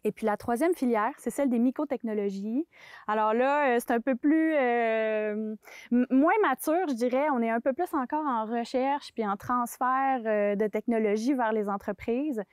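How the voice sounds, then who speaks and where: Higher-pitched Québécois woman explaining, dry sound. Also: normal speed, a little mellow, clean tone, dry recording. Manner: hesitant, many fillers and restarts. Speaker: mycology sector expert. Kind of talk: explanatory interview answer.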